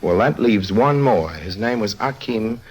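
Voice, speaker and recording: A man's voice: on the low side, lively intonation, a little slow, husky, low-quality recording. An actor playing a wily, wisecracking con man in a 1950s radio drama, with a breezy, casual manner and sly asides. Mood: cagey, reporting. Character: wily wisecracking con-man and narrator of his own misadventures.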